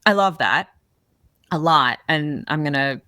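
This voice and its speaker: A woman hosting a podcast, talking casually in her big voice and telling stories in an expressive, animated way. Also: deep voice, a little slow, dry recording. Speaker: podcast host.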